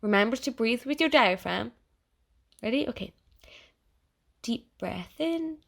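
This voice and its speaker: A woman's voice: on the high side, expressive, measured pace, creaky, exaggerated voice. A woman playing a chatty, sarcastic, easily flustered AI program in an audio drama, talking casually and stammering when rattled. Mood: flustered, urgent. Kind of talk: audio drama monologue in casual second-person address.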